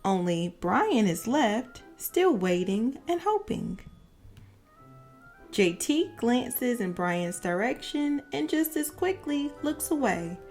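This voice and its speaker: A woman narrating a children's picture book as a storyteller, with expressive, deliberate phrasing for young listeners. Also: on the high side, soft background music. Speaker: read-aloud storyteller.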